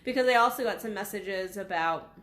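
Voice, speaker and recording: A woman in her thirties, a sex coach, talking casually and bluntly to camera, her voice raspy from being unwell. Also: medium pitch, fairly quick, a bit bright, echoey room. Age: 30s. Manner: frank, blunt, swears freely. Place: small waiting room at her office.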